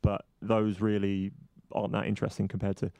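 A man's voice: fairly low pitch, monotone, warm tone, clean tone, no echo. A man hosting a football podcast in casual chat, wry and dryly humorous, with plenty of fillers. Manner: wry, dry humour, quick, filler-heavy. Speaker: podcast host.

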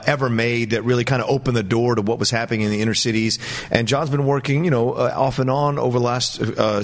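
Emphatic male movie panelist, mid-range voice. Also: mid-range voice. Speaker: panelist on a movie talk show. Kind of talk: conversational film commentary.

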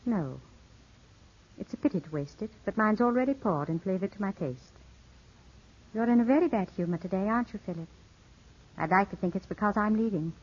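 An actress playing a poised, gracious widow, coaxing and measured, in 1950s radio drama style. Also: female voice, mid-range voice, expressive, breathy edge. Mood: gently insistent. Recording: muffled old 1950s radio broadcast.

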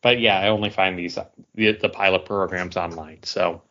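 A man's voice: sits low, fairly even intonation, normal speed, sharp tone. A man chatting as a podcast co-host over an internet call, describing things in a hesitant, meandering way. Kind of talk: casual podcast chat. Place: remote voice call. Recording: dull lo-fi internet call audio.

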